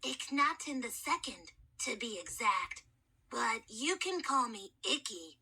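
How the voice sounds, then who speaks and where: A woman voicing an ancient boy mummy who is timid and formal, with a hoarse voice and courtly, soft-spoken manner. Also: fairly high pitch, very animated, slow, heavily acted. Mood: timid, polite. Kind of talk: audiobook reading.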